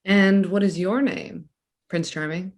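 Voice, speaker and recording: A woman's voice: medium pitch, fairly even intonation, a little slow, natural voice. A woman playing a nervous but resolute wartime mother in a stage play reading. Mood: nervous, guarded.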